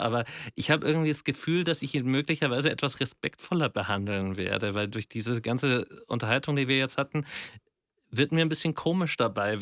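A man's voice: medium pitch, a bit bright, dry recording. A man, recorded close and dry. He thinks aloud in a conversational discussion, wordy and colloquial, circling his point reflectively.

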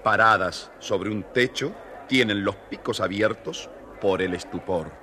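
A man's voice: lowish voice, a little slow, bright, forceful tone. A man narrating a dramatised children's story, expressively addressing his young listeners.

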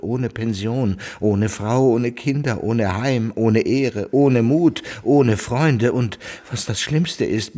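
An actor playing a ruined, world-weary old baron in a radio drama, pleading in a theatrical way. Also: male voice, medium pitch, a little mellow, natural voice. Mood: desperate. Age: older.

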